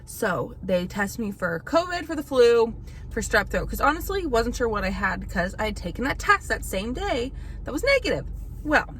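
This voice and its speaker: A woman in her thirties, slightly hoarse from illness, chatting casually to camera at home, rambling with lots of fillers and restarts. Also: fairly high pitch, sing-song, moderate pace, a bit bright. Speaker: vlogger. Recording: faint background noise.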